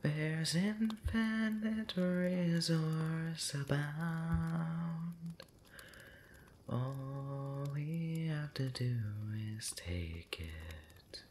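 A man rambling intimately late at night in an ASMR style, breathy and often whispering, hesitant and pensive with long pauses and soft hums. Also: a little high, slight background noise. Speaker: audio roleplay channel creator.

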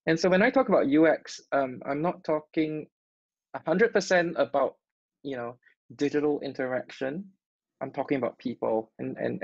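Gravelly man hosting an online workshop. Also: mid-range voice, dry recording. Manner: halting, many restarts and fillers. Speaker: workshop host. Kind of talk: live online workshop presentation. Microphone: video call. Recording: dull lo-fi call audio.

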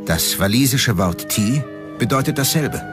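A man's voice: medium pitch, expressive, unhurried, music in the background. A man narrating a documentary from a script.

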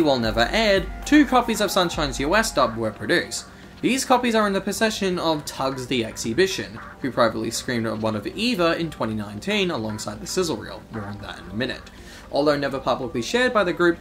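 A man's voice: on the high side, a bit bright. A man hosting a video essay, narrating from a script.

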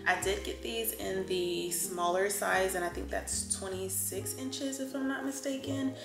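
A woman's voice: medium pitch, steady tone, unhurried, vocal fry. A woman with a sore throat chatting through a home decor haul in a chatty, enthusiastic way, with lots of fillers. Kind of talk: casual haul chat. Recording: roomy echo with background music.

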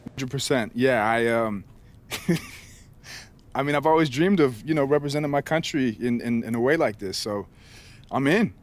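A man's voice: medium pitch, lightly acted. Man playing easygoing young American athlete. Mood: amazed. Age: young adult. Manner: casual, halting, earnest. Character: eager, easygoing young male college basketball star. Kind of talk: naturalistic video game cutscene acting.

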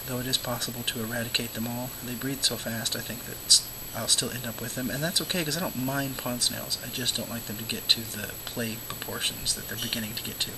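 Hoarse, scratchy-voiced man talking casually. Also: mid-range voice, fast, few pauses. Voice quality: scratchy, often dropping to a whisper. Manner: relaxed, rambling, many fillers. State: hoarse, losing his voice from illness. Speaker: aquarium vlogger, show host. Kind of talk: casual talk to camera.

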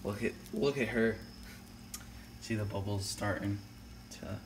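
A man casually walking through making food in a home kitchen, relaxed and correcting himself as he goes. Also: lowish voice, unhurried, noisy background. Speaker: home cook. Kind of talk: casual cooking demonstration. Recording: clear music behind the voice. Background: music.

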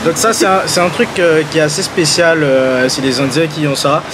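A man's voice: fairly high pitch, fairly even intonation, moderate pace, background noise. A man chatting casually and enthusiastically as a food vlogger, using slang and addressing his viewers. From Guadeloupe. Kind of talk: casual vlog chat, tasting food.

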